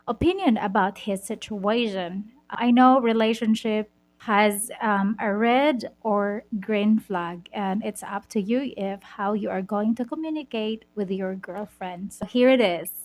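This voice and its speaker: A woman from the Philippines with a Filipino accent, Bisaya her first language, reading a viewer's story aloud and giving advice, slow and a little halting. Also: medium pitch. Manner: slow, careful, reads a bit haltingly. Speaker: vlogger.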